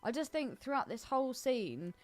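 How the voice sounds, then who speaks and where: A woman's voice: high voice, sing-song, normal speed, a little mellow. A woman co-hosting a film podcast, chatting casually in a blunt, teasing way. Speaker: podcast co-host.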